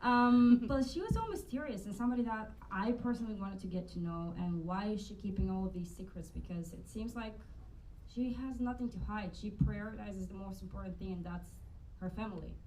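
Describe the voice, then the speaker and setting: A woman's voice: mid-range voice, brisk, darkish tone. An actress tells her story animatedly and thoughtfully. She is picked up from a distance, with faint noise underneath. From Bulgaria. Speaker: actor on a panel. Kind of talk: panel Q&A answers.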